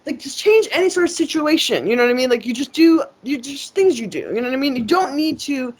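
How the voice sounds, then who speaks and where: A woman with a slightly high voice talks loosely and at length. She curses freely, circles back on herself and keeps starting her sentences over. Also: animated, fast talker. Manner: rambling, profane, frequent fillers and restarts. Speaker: interview guest. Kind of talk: casual conversation.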